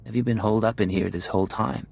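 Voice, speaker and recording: Deep, gravelly male secret agent. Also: fairly even intonation, fast, a little mellow. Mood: businesslike. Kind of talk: video game voice acting.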